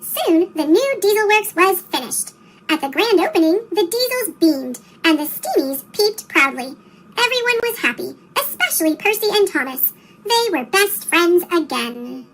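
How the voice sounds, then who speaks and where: A woman's voice: very high-pitched, very animated, normal speed, fairly bright tone, low-quality recording. A woman reading a children's story aloud, her voice turned into a sped-up, childlike chipmunk sound. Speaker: storybook reader.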